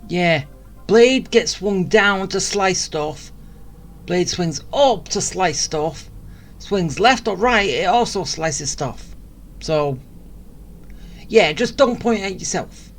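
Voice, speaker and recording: A woman's voice: lowish voice, animated, slow, pauses often. A woman giving casual, wry commentary while playing a video game, in an offhand way. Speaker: gamer commenting on play. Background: game music.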